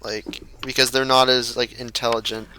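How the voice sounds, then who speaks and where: A young man chatting casually on a podcast, halting and repeating words, with lots of "like". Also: mid-range voice, level tone, over a noisy crowd, rough recording. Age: young adult. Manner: hesitant, repeats words, says "like" often. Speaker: podcast co-host. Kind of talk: casual group chat.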